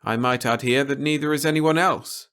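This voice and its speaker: A man voicing a stern, articulate male official in an audiobook, with emphatic, deliberate, formal phrasing. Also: mid-range voice, fairly even intonation, a bit bright, clean tone, pitched above the performer's own voice, close to an everyday voice. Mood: stern, warning. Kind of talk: audiobook character dialogue.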